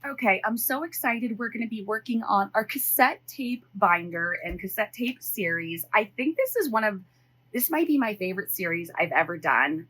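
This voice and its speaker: A woman's voice: medium pitch, pushed and bright, slightly rough, low-quality recording. A middle-aged American woman chatting casually and unscripted to viewers as a budgeting vlogger, thinking aloud in her home office. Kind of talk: casual chat to viewers while counting cash.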